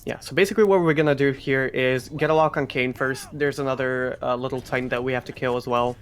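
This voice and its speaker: A man's voice: mid-range voice, steady tone, quick pace. A man giving explanatory live commentary on a speedrun. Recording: faint background noise, live event stream.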